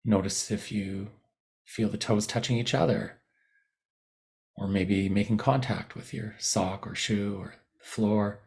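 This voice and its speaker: A man guiding a body scan meditation in a soothing, unhurried manner, leaving long pauses between short phrases. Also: fairly low pitch, steady tone, gravelly, darkish tone. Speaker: meditation guide. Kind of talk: guided body scan meditation, unscripted instruction.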